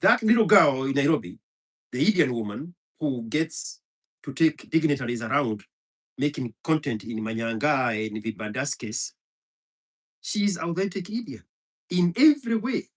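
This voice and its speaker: A man's voice: fairly high pitch, lively intonation, measured pace, pauses often. A man from Kenya, a Kikuyu speaker, delivering a heated, profane monologue full of hammering repetition. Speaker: commentator. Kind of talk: impassioned monologue.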